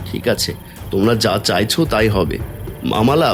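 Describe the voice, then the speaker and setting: A man's voice: fairly low pitch, lively intonation, normal speed, a bit bright, soft background music. A man voicing an honest, beleaguered poor farmer in a cartoon, resigned in tone. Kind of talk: Bengali cartoon voice acting.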